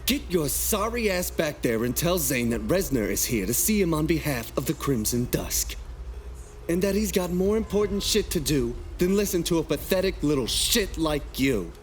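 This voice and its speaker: A man's voice: a little high, big pitch swings, moderate pace, faint music behind. A man playing a cocky, threatening rogue fighter with an insolent manner in a dramatic fan dub. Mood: menacing.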